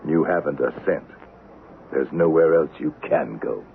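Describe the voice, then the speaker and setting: Old Western avenger, deep and scornful, deliberate radio-play delivery, dull old recording. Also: male voice, creaky, exaggerated voice. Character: vengeful, bitter wealthy old Westerner, cold and domineering. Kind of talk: 1970s radio drama acting. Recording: dull, noisy vintage radio drama recording.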